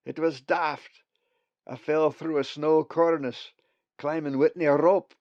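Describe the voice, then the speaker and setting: A man voicing a warm old male raven spirit with a broad Scottish accent, talking loud and hearty. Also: fairly high pitch, steady tone, slow, no echo, muffled recording, pitched above the performer's own voice. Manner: hearty, loud, dialect-heavy.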